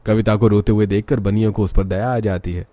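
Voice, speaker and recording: A man's voice: fairly low pitch, steady tone, a little fast, warm tone. A man narrating a scripted story as its narrator.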